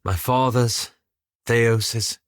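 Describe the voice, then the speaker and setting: A man playing a weary, guilt-ridden elf adventurer in a video game, speaking in a measured, formal and articulate way with slight hesitance. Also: mid-range voice, fairly even intonation, clean tone, no echo, studio quality. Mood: weary, regretful. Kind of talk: video game character dialogue.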